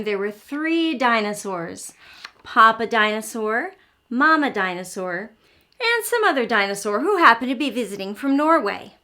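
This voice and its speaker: A woman's voice: on the high side, sharp tone. A woman hosting an interactive read-aloud for young children, telling the story expressively and explaining as she goes. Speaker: host of children's early learning show.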